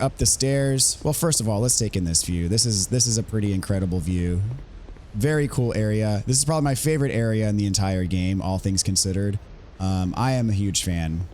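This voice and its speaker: A man with a hoarse voice he is still getting back, casually explaining a game walkthrough in a relaxed way, with frequent ums and restarts. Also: fairly low pitch, slight background noise. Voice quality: recovering from voice loss. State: hoarse, recovering voice. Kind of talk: casual gameplay commentary.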